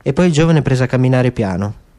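A man's voice: medium pitch, animated, a little fast, mellow. A man giving a dramatic, scripted literary narration as an actor in a radio play.

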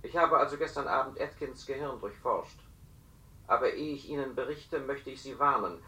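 An actor playing a cautious, grave telepathic informant in a radio play, speaking precisely and confidentially. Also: male voice, medium pitch, normal speed, pushed and bright. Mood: grave, secretive. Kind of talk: German radio play acting. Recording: roomy, echoing radio studio.

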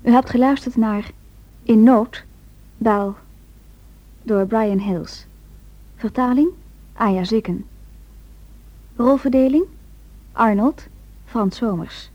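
A woman's voice: a little high, slow pace, long pauses. A woman announcer formally reading radio drama credits on a slightly dull old recording.

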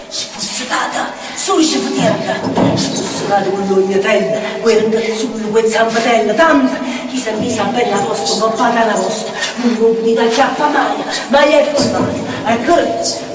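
An actress playing a volatile, bitter Neapolitan slum dweller in a theatrical dialect monologue, chanting repeated phrases and swinging between mutters and shouts. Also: female voice, a little high, lightly acted. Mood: incantatory, scornful. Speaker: stage actress. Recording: roomy, distant stage sound with music.

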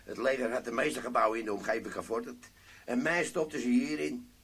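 An actor playing an older, boastful ex-army sergeant in a radio drama, informal and wry. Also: male voice, medium pitch, fairly quick, a bit bright, close to an everyday voice. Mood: reminiscing.

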